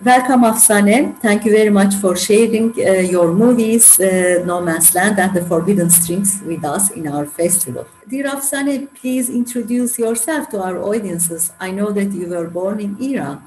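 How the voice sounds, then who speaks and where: A woman with a Turkish accent hosting a festival interview, speaking haltingly with many fillers and formal addresses. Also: medium pitch, darkish tone. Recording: echoey room with faint music.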